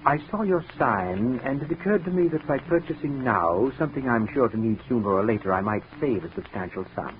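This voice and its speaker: An actor playing a fussy, cold, precise brother in a 1940s radio drama, formal and controlled. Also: male voice, medium pitch, expressive, fairly quick, hardly any pauses, dull audio, lightly acted. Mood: coolly calculating. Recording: thin, noisy 1940s radio recording.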